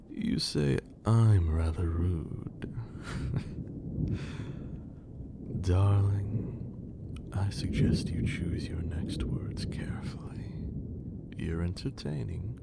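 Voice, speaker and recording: Man playing a slow, low, whispering vampire lord. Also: heavily acted. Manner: theatrical, drawling, often breathy near-whisper. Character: haughty, menacing, teasing male vampire lord. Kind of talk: ASMR roleplay, scripted character acting.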